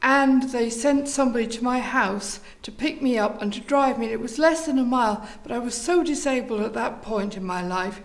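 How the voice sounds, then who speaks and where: A woman speaks in a fairly high voice with a strong creak running through it. She answers an interviewer, explaining and telling stories as someone who leads a theatre group. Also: normal speed, hardly any pauses.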